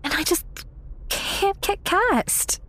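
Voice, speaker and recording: A woman's voice: very high voice, animated, slow, sharp tone, full character voice, rough recording. A woman playing a struggling young aspiring actress in an audio drama, theatrical, prickly and sardonic. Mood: dejected, weary. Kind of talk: naturalistic audio drama dialogue.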